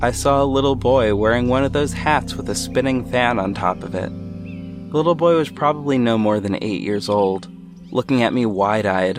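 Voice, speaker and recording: A man acts an earnest hero telling his own story in an audio drama. The voice is on the low side, measured and reflective, with music clearly playing underneath. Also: lightly acted. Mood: attentive. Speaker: narrator and lead character of an audio drama. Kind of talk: first-person scripted narration.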